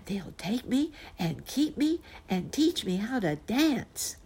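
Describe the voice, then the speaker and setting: A woman voicing an excitable, determined young male bear cub in a children's story, eager and pleading. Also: fairly high pitch, slow, husky, pitched above the performer's own voice, full character voice.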